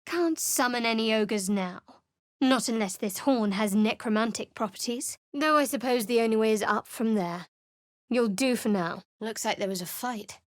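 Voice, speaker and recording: A woman's voice: fairly high pitch, normal speed, no room sound, close to an everyday voice. A woman voicing a guarded, sardonic young cleric adventurer in a video game, speaking dryly and warily with a cutting edge. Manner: dry, wary, cutting.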